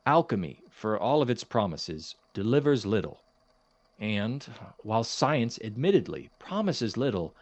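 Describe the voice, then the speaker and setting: Audio drama academic, played by a man: male science professor, precise and kindly but cautious, expressive mid-pitched delivery, very dry sound. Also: no echo. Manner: formal, precise, hesitant, gently reproving.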